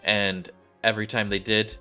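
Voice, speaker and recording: A man with a fairly low but bright voice reads game cutscenes aloud like a narrator. He drops in casual asides and rereads lines he trips on. Game music plays behind him. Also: little pitch movement, moderate pace, clean tone. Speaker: gaming streamer reading story scenes aloud.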